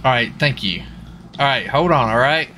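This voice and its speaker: Man, bright forceful voice, lively pitch, folksy, repetitive, casual police roleplay, game streamer. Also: moderate pace. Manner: folksy, excitable, repeats himself. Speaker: streamer role-playing a police officer. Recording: faint background noise, game sirens. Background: game sirens and vehicle sounds.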